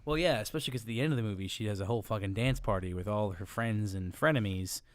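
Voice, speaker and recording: A man's voice: on the low side, fast talker. A man co-hosting a podcast with casual banter and sardonic, sweary interjections. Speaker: podcast co-host.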